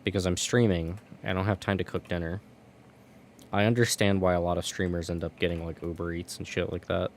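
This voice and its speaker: A man's voice: low-pitched, flat delivery, a little fast, slight background noise. A man streaming from home, chatting casually while gaming, rambling and restarting his words.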